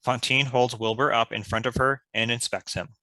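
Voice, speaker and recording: A man's voice: mid-range voice, steady tone, moderate pace, sharp tone, no echo. A man reading scripted stage directions as the narrator.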